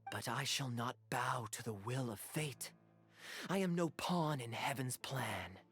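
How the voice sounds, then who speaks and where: An actor playing a suave young swordsman in a fantasy game, with a grandiose, measured, theatrical delivery. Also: mid-range voice, a bit bright, faint music behind, natural voice. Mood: defiant. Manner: grandiose, measured, dramatic pauses. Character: suave young swordsman acting a noble tragic stage prince. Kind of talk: theatrical stage-play declamation in a fantasy video game.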